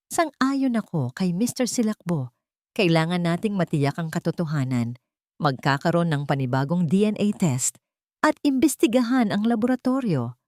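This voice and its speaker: A woman's voice: on the low side, animated, moderate pace, a little mellow, no echo, clean studio sound, close to an everyday voice. A woman reading as a stern, decisive judge with a firm, formal manner in a drama story.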